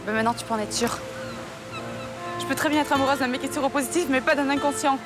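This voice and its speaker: A hurt, reproachful young woman, played by an actress speaks fast and fairly high. Her tone is sharp and accusing. Loud music plays under the TV scene. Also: natural voice. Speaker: Samia. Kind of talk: soap opera acting.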